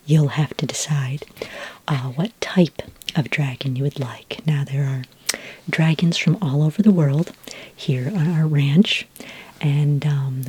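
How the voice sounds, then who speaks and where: Woman playing friendly dragon rancher lady, asmr roleplay, super breathy whisper, mellow, patient and gentle. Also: deep voice, full character voice. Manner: gentle, patient, explaining hesitantly to a newcomer. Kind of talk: soft-spoken ASMR roleplay.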